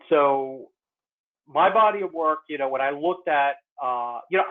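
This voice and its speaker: A man, an artist, speaking in a casual online talk, hesitant and full of fillers. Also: medium pitch. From United States. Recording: tinny phone-like line.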